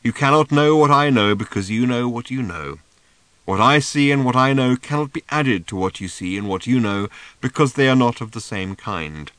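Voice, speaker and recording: A man voicing a cryptic, mournful old male seer who delivers slow, drawn-out, sing-song pronouncements. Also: medium pitch, lively intonation, a bit bright, no room sound, lightly acted, poor audio.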